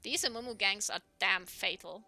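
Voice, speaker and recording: A young woman with a squeaky voice, casting a live game in a chatty way with lots of ums and laughs. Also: normal speed, bright, forceful tone, faint music behind. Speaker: esports caster.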